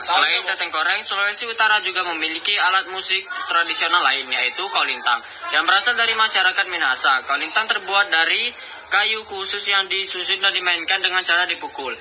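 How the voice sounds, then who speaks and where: A man's voice: high voice, pushed and bright. A young male student giving a school slide presentation in a noisy amateur recording. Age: teenager or young adult.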